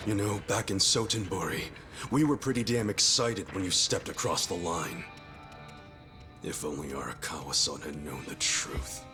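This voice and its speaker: An actor playing a defiant, loyal yakuza underdog in a video game cutscene, with rough, blunt street talk. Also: male voice, low-pitched, moderate pace, over music, lightly acted. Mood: bitter. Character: loyal, hot-blooded male yakuza underdog, defiant and vengeful.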